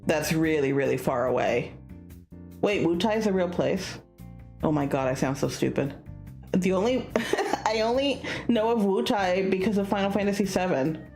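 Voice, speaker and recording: Woman streamer, lowish voice, very lively and jokey, dramatic read-aloud with casual asides, music behind. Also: normal speed. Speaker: streamer reading a visual novel aloud.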